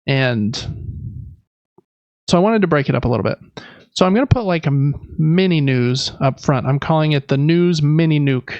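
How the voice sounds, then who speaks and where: Creaky-voiced man, casual podcast host. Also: a little high, animated, normal speed, a little mellow, no room sound, highs cut off. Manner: rambling, self-deprecating. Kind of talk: casual chat about games and life.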